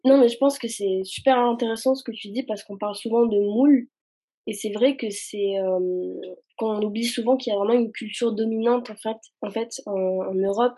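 A woman's voice: a little high, moderate pace, mellow, breathy edge. A young woman speaking in a casual conversation, hesitant and searching for words with many fillers.

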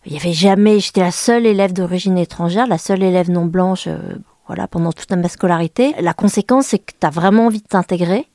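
A woman in her forties from France talks slowly and thoughtfully, stopping often to restart her sentences. Her voice sits a little low and sounds mellow and warm. The recording is dry and close, with no room sound. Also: no echo. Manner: hesitant, many fillers and restarts. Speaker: journalist and author guest. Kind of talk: reflective interview talk.

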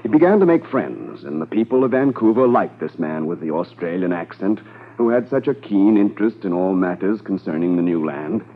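An actor as the narrator of a 1950s radio crime drama, measured and portentous in delivery. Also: male voice, deep voice, lively intonation. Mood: grave, dramatic. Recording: muffled 1950s radio recording.

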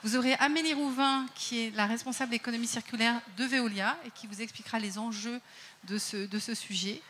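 A woman's voice: a little high, steady tone, fairly quick, fairly bright tone, a little hiss or hum. Woman formally introducing conference speakers. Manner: hesitant, some fillers. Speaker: event organizer.

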